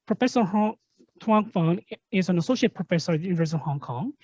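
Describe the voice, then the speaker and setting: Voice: medium pitch, animated, fast, a little mellow, no echo. A moderator formally introducing speakers in a webinar over a video call. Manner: formal, reads from a script. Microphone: video call microphone. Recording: dry, dull video call audio.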